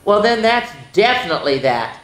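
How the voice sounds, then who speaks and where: A woman's voice: medium pitch, sing-song, normal speed, voice pushed up, lightly acted. A woman voicing a patient but doubtful storybook mother, questioning in a matter-of-fact way. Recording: roomy, echoing indoor sound.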